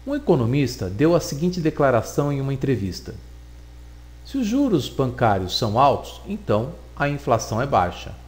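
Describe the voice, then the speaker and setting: A man giving a recorded logic lesson, explaining deliberately and repeating points for emphasis. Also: mid-range voice, sing-song. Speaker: teacher.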